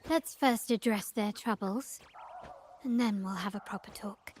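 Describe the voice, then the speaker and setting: A woman's voice: mid-range voice, a little slow, a little mellow, slight background noise, close to an everyday voice. A woman voicing a poised, imperious young noblewoman in a video game, composed, formal and cutting as she speaks. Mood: thoughtful, disapproving. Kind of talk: scripted game voice acting.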